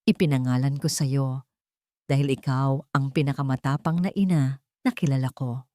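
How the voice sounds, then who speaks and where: Female narrator as sincere, repentant businessman father in a romantic audio story; low, halting, tender. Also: fairly even intonation, dark tone, dry recording, clean studio sound. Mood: earnest.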